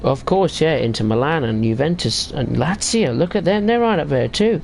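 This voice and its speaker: A man's voice: fairly high pitch, expressive, moderate pace, vocal fry, darkish tone, a little hiss or hum. A man casually chatting and rambling while streaming a train simulator game. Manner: chatty, rambling about football tables while driving. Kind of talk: casual gameplay commentary.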